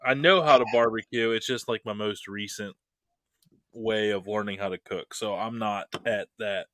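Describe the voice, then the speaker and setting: An easygoing man hosts a casual chat, hedging with fillers and teasing his guests. His voice is bright and forward, and the sound is dry. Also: lowish voice, fairly even intonation, moderate pace, dry recording.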